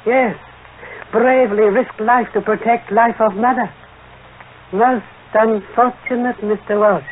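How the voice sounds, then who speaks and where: An actor playing a shrewd, courtly Chinese detective inspector, formal and aphoristic, with clipped grammar, in old radio mystery style. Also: male voice, sits high, steady tone, unhurried. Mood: calm, triumphant. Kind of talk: 1940s radio mystery acting. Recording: tinny, noisy old radio recording.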